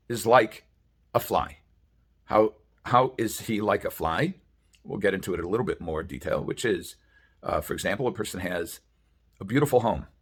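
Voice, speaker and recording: A man speaking as a rabbi giving a religious sermon, explaining sources in a halting, deliberate way with frequent hesitations. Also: sits low, a bit bright, husky.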